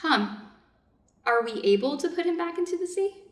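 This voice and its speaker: A woman's voice: very high voice, animated, moderate pace. A woman reading the part of a Japanese surgeon's wife in a staged story, proper and practical, with formal, careful phrasing. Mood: anxious. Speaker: reader's theater performer. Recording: echoey room, distant microphone.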